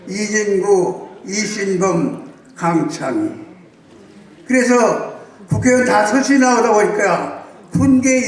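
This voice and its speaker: A man in his nineties from South Chungcheong giving a formal congratulatory speech and lecturing with rhetorical questions. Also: sits high. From South Chungcheong, Korea. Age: 90s. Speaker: guest speaker. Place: large banquet hall. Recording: echoey hall, distant mic.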